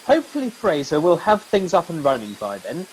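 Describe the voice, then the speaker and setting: A man's voice: on the high side, wildly swooping pitch, a little fast, darkish tone, a little hiss or hum, rough recording. A man playing a mild, polite and fussy sergeant in a comic radio play. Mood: politely fussy.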